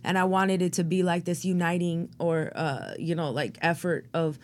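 A woman with a somewhat low voice speaks as a guest on an interview podcast. She is an actor and playwright who talks in a rambling, reflective way. Her speech is full of fillers. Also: steady tone, normal speed. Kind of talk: interview conversation.

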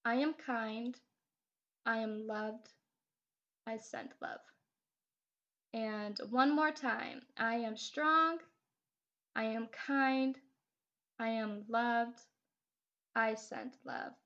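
A woman with a cold speaks in a fairly high voice as she hosts an affirmation podcast. She is earnest and encouraging, and drops in the odd swear word. The sound is a little dull and lo-fi. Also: extremely slow, pauses often, a bit bright. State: has a cold. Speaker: podcast host.

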